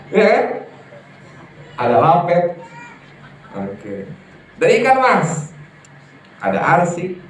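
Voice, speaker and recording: A man preaching a sermon to a congregation, lively and emphatic, often putting questions to his listeners. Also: sits high, a little hiss or hum. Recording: echoey hall from far off, faint ambience.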